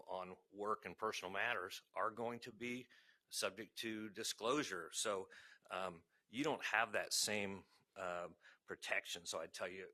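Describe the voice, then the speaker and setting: A man, a legal counsel, giving measured, cautionary advice at a public board meeting into a table microphone, with many fillers and a voice he calls soft. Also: low voice. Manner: says he has a soft voice. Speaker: legal counsel advising a state education board.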